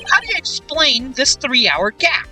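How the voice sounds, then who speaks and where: A woman playing a young male defense lawyer in an amateur courtroom game dub, challenging a witness with halting, deliberate delivery. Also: high-pitched, big pitch swings, sharp tone, distant mic, soft background music, full character voice. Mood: confrontational.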